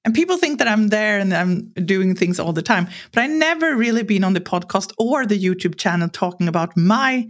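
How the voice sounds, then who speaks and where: A woman's voice: medium pitch, brisk, hardly any pauses. A woman in her forties from Sweden with a Swedish accent, speaking English as a second language as a podcast guest, telling personal stories candidly and acting out what people said. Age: 40s. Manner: animated, acts out quoted lines, laughs while talking. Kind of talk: candid conversational storytelling.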